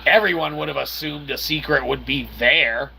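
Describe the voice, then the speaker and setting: A man giving casual video game commentary in a playfully cocky manner, talking as "we", with a gravelly voice. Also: a little high, moderate pace, sharp tone, slight background noise. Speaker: gameplay host.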